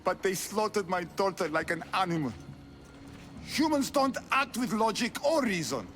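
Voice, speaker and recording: An actor playing a cold, formal, embittered noble of an ancient race who despises humans, in a video game drama. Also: male voice, high voice, animated, normal speed, a bit bright, faint music behind. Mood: bitter.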